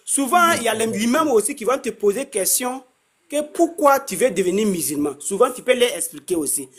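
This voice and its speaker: Halting breathy man explaining patiently. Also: sits high, expressive, fairly bright tone. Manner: halting, repeats words, many restarts. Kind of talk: casual street conversation.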